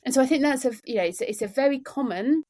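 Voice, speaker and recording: A woman's voice: high-pitched, expressive, fast, warm tone. A woman guest on a podcast, speaking about workplaces in an earnest, emphatic way, repeating words and using "you know" often. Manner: earnest and emphatic, with many repetitions and fillers. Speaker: podcast guest, neurodiversity trainer. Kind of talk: conversational podcast interview.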